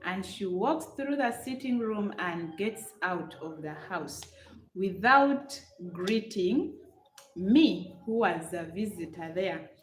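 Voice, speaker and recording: A middle-aged woman with a Ugandan accent talks at an unhurried, chatty pace, repeating herself and speaking straight to her viewers. She is recorded in a room with a noticeable echo and a faint hiss underneath. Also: medium pitch, expressive. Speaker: vlogger. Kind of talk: live-stream storytelling with chat replies.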